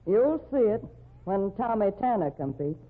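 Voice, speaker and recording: A woman's voice: medium pitch, sing-song, normal speed. An actress playing a tough, outspoken cowgirl wife with blunt, slangy talk in an old-time radio drama. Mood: blunt, weary. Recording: tinny, band-limited old radio recording.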